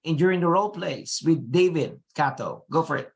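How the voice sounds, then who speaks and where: Brisk panel moderator calling on speakers. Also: medium pitch, animated, creaky. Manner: brisk, prompting speakers by name.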